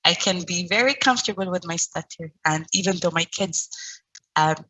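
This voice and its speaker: A middle-aged woman who stutters, giving an online presentation as founder of a stuttering support group, with pauses and repeated sounds. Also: lowish voice, bright, forceful tone, no echo, rough recording.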